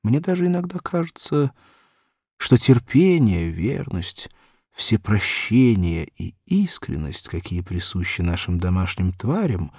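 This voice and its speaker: A man narrating a literary story for children in a theatrical, measured way. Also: on the low side, big pitch swings, darkish tone, husky, dry recording, clean studio sound.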